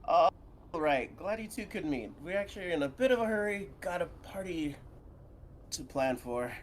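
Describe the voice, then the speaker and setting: A man reading the lines of a friendly, easygoing young man in a visual novel, in a relaxed, offhand way. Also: sits high, moderate pace, slight background noise, pitched above the performer's own voice.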